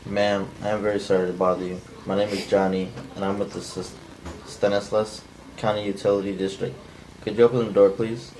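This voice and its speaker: A man playing a polite but pushy young utility worker, reading flatly from a script as a non-actor. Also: on the low side, brisk, natural voice. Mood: polite, apologetic. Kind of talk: flat cold read of a script by a non-actor. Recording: noisy amateur room recording.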